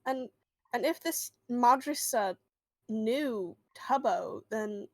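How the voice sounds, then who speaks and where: A woman's voice: on the high side, slow pace, dry recording. A woman playing a tabletop role-playing game, chatting casually in and out of character in a hesitant, rambling way.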